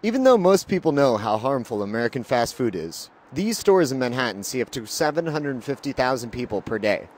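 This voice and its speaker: A man's voice: medium pitch, big pitch swings, fairly quick, hardly any pauses, a little mellow. A man narrating in a mid-range voice with a dry, sarcastic edge, mixing scripted lines with questions to passers-by. Faint street noise sits underneath. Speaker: co-host and narrator.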